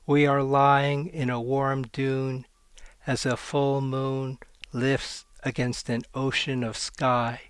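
A man, a poet, reading his poems aloud in a slow, measured way and talking about his work. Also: medium pitch, little pitch movement, smooth, no echo. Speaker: poet guest. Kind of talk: poetry reading.

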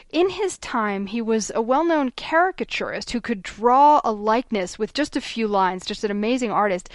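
A woman hosting a radio interview show, reading measured, scripted introductions and questions. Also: on the high side, no echo, clean studio sound. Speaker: radio host.

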